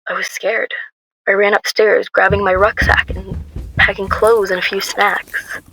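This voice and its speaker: A woman's voice: medium pitch, flat delivery, a little slow, sharp tone, slight background noise, low-quality recording. A woman playing a frightened but determined young woman on the run in a first-person radio drama. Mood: hurried.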